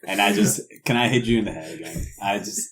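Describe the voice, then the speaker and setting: A man's voice: lowish voice, quick pace, a bit bright, soft background music. A man playing a tabletop role-playing game, chatting casually as he says what his character does.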